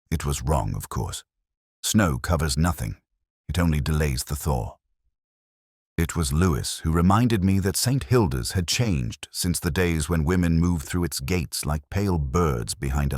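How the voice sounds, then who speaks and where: A middle-aged man narrating a scripted first-person detective story in a measured, brooding, literary manner. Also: a bass voice, darkish tone, dry recording, clean studio sound.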